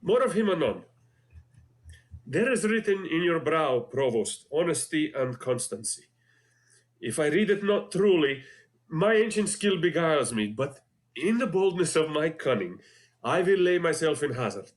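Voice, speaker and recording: A man with an Egyptian accent playing a cunning, commanding disguised duke in a Shakespeare scene rehearsal, delivering verse deliberately. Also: a little high, sing-song.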